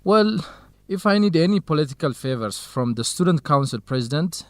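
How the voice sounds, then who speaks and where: Man acting playful easygoing guy, lively higher voice. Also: normal speed, no echo, natural voice. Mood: joking. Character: Helen's joking, easygoing male companion puzzled by America. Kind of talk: English-learning radio drama acting.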